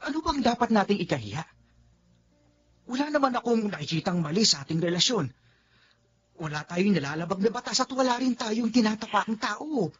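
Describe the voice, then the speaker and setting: A man's voice: high voice, sing-song, fairly quick, fairly bright tone, slight background noise. A man playing a determined lover in a secret romance, earnest and stammering when caught off guard, in a slightly muffled radio drama.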